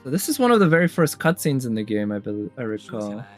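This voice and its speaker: Man musing over loud background music. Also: medium pitch, very animated, quick pace. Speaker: host of a game trailer tier list. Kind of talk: casual reaction commentary. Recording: music behind the voice. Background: game trailer music.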